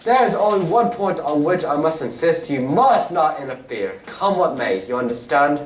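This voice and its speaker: Man acting a lively, high-pitched gentleman detective. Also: moderate pace. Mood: assured, scheming. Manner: precise, formal, theatrical. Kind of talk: old-time radio drama acting on stage. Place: community playhouse stage. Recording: roomy, distant stage recording, faint noise.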